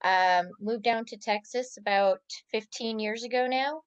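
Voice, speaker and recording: Smooth-voiced woman on a muffled line. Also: a little high, unhurried, dry recording. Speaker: student. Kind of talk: class introduction.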